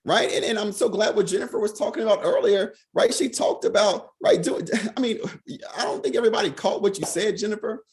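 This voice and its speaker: A man leading a financial sales team on a video call, hoarse from being sick, talking fast and repeating "right?" over and over. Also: high voice, darkish tone. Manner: fast, repetitive, peppered with "right?". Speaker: financial services team leader. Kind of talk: motivational talk on a video call.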